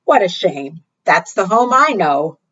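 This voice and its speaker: A woman's voice: on the low side, very animated, unhurried, bright, forceful tone, slightly rough, dull audio, exaggerated voice. A woman voicing a friendly, helpful mother sled dog in a children's storybook. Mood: sympathetic.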